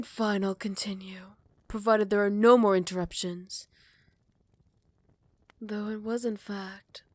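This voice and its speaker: Brief: woman plays a proud, ancient vampire queen. Whispers close to the mic, then snaps back with scorn. Dry, middle-pitched voice. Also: no room sound, close to an everyday voice. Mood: scornful. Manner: hushed whispered storytelling broken by sharp, scornful retorts. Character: proud, haughty female vampire queen, centuries old. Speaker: voice actor. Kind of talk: ASMR roleplay.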